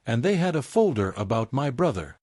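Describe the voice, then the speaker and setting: A man voicing a loyal female secretary in an audiobook, low and steady under strain. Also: brisk, dry recording, clean studio sound. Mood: strained confession. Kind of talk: audiobook character dialogue.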